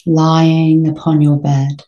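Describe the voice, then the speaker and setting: A woman with a low, mellow voice leads a sleep meditation. She speaks very slowly and soothingly, leaving long gaps between short phrases. The sound is roomy, with a noticeable echo around her gentle delivery. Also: little pitch movement, muffled recording. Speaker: meditation guide.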